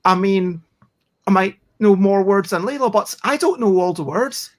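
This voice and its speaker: A chatty host of a board game show, speaking at an ordinary middle pitch. They ramble casually, restart their sentences, and poke gentle fun at themselves as they go. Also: animated, normal speed. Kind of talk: casual chat.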